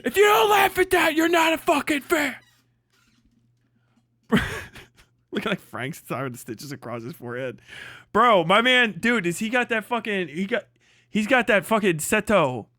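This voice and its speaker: A man with a high, bright, forceful voice, recorded close and dry. He hosts a livestream, riffing crudely on what he watches with heavy swearing and an exclamatory, mocking delivery. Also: sing-song, moderate pace, long pauses, no room sound. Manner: often breaks into laughter at own riffs. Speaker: livestream host. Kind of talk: mocking, profane reaction commentary on a video.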